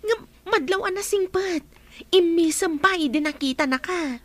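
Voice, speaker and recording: A woman's voice: extremely high pitch, moderate pace, a bit bright. A woman with an Ilocano accent playing a teasing, giggly young cleaner and cheeky friend in a radio drama, full of exclamations.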